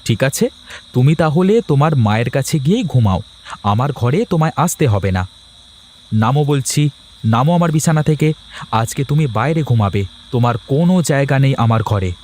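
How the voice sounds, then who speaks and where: A man's voice: medium pitch, animated, a little fast, a little mellow, a little hiss or hum, lightly acted. A man voicing a bossy, grumbling but doting village husband in a cartoon. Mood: angry. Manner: bossy, emphatic. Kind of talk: cartoon voice acting.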